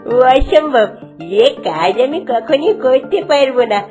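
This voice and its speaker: A woman acts as an honest, frightened palace guard. The voice is high and pleading, in fairy-tale cartoon style. Music is clearly heard behind it. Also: lively intonation, a little slow, dark tone. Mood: alarmed.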